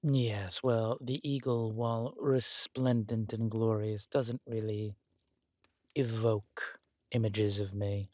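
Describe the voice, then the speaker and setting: Villain husband , male actor: scheming noble usurper, slow aristocratic delivery, breathy asides, dry intimate roleplay sound. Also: fairly low pitch, fairly even intonation, mellow, dry recording. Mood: sly, musing. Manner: suave, aristocratic, deliberate, with breathy near-whispered endearments. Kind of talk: intimate roleplay audio drama acting.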